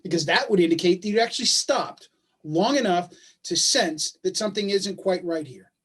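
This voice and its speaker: Man acting as a husky, sarcastic husband. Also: sits high, moderate pace, a bit bright. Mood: bitter sarcasm. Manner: sarcastic, needling. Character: bitter, sarcastic husband caring for a sick child. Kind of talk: staged play reading. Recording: video call recording.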